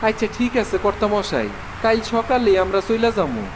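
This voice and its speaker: High-voiced poor villager with rural Bangladeshi accent. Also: male voice, big pitch swings, moderate pace, background noise. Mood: pleading. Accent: rural Bangladeshi Bengali. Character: poor, weary, pleading village husband. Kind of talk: cartoon dialogue acting.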